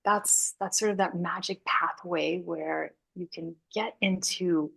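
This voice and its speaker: A woman raised in Canada, a guest speaking thoughtfully and softly in an interview, laughing easily. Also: on the low side, steady tone, slow, gravelly, breathy edge, rough recording. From Hungary, raised in Canada. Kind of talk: reflective interview conversation.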